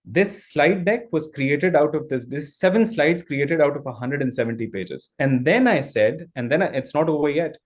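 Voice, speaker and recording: Energetic man leading an online training. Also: on the high side, moderate pace, few pauses. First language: Urdu. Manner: energetic, repeats and restarts phrases. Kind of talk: live online training demo.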